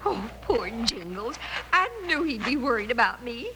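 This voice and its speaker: A sweet, smitten frontier lady with an American accent and a highish voice that swoops expressively. She gushes and flutters in old radio drama style. The recording is clearly noisy. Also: big pitch swings, normal speed. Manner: gushing, fluttery. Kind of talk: old-time radio Western acting.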